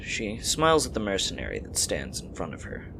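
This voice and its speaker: A man's voice: fairly low pitch, huge pitch swings, fairly quick, vocal fry, background noise. A man reading scripted story narration for an audio drama.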